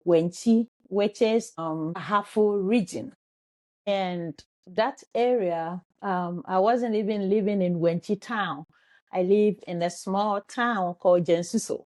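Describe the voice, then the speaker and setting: A woman with a Ghanaian accent tells her own story as an interview guest. She talks slowly and earnestly, with a warm, mellow tone. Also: mid-range voice. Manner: earnest, grateful, slow and halting.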